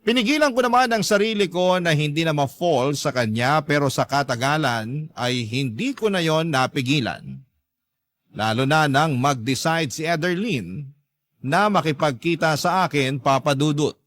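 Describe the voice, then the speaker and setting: Man voicing a long-suffering young narrator, earnest, measured, scripted radio narration, close dry sound. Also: fairly high pitch, fairly bright tone, no echo, natural voice. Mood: earnest, wistful. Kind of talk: scripted first-person narration for radio drama.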